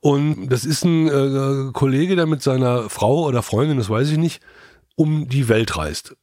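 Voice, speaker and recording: Male podcast expert, medium pitch, very dry close sound, conversational explanations with halting phrasing. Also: a little mellow, no room sound. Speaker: podcast regular, sound specialist. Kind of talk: casual explaining.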